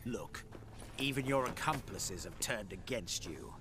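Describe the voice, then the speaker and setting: A man's voice: fairly low pitch, big pitch swings, unhurried, background noise. An actor playing a cold, domineering nobleman in a video game, haughty and menacing as he asserts his rule over the family. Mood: smug magnanimity. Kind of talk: dramatic video game acting.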